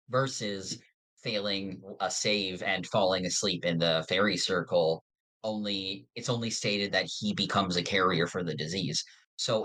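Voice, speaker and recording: Low voiced podcast host just chatting like a fan, kinda rambly and informal. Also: fairly bright tone, slightly rough, rough recording. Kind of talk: casual fan discussion of an audio drama.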